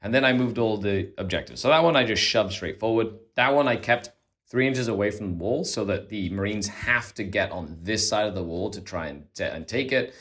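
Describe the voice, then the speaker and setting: A man with a hoarse, worn-out voice, hosting and explaining a tabletop wargame turn by turn in casual chat. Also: on the low side, animated, brisk, a bit bright. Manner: chatty, explains moves step by step. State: hoarse, tired voice. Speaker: host. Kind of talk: casual battle report commentary.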